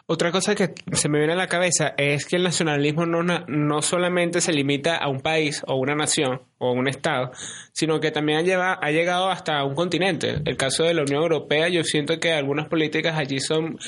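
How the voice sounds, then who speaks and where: A man in a casual political podcast discussion, thinking aloud in halting phrases. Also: fairly high pitch, fairly even intonation, fairly bright tone. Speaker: podcast co-host.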